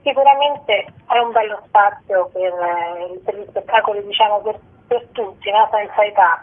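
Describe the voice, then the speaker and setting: A woman giving an informal interview as a theatre representative over the telephone. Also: mid-range voice. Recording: muffled, narrow telephone line.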